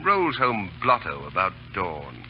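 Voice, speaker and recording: An actor playing an amused, teasing army officer with a wry manner in an old radio drama. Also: male voice, sits low, huge pitch swings, slow pace, faint music behind, exaggerated voice. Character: teasing, amused male army officer, light-hearted comrade. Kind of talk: 1930s-40s radio drama acting. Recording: muffled old radio recording with hiss.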